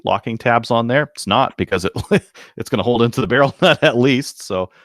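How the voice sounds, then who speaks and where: A man's voice: mid-range voice, fairly quick, a bit bright, no room sound, studio quality. A man co-hosting a podcast, chatting casually and jokingly in a laid-back way. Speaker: podcast co-host. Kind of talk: casual banter about shooting and gear.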